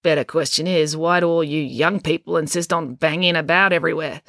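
A woman's voice: low voice, steady tone, a little fast, a bit bright, dry recording, clean studio sound. A woman voicing a grumpy, snappy old woman with a curt, bossy and blunt way of talking. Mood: brusque, blunt. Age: older.

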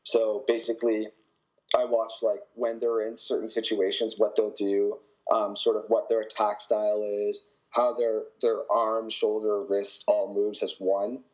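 A young man from Canada, a college volleyball player, answering interview questions in a relaxed way with many fillers. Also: lowish voice, a little slow, highs cut off. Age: young adult.

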